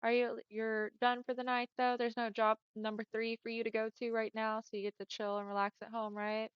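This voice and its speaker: A young woman streamer chatting casually with her viewers, friendly and often laughing, with a smooth voice on the deeper side for a woman. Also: little pitch movement, a little fast, dry recording. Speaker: livestreamer. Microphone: headset mic. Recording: dull lo-fi headset mic.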